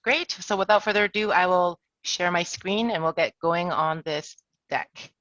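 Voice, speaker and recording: A woman's voice: fairly low pitch, moderate pace, a bit bright, no room sound, dull audio. A woman presenting an online career workshop as an HR director, chatty and self-deprecating with jokes. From Toronto, Canada. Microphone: video call.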